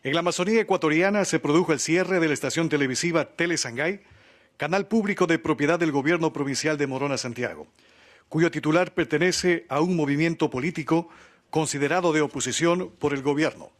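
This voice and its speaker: Man, fairly high voice, formal testimony. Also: fairly quick. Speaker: television channel representative speaking at a hearing. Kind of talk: formal prepared statement, read aloud.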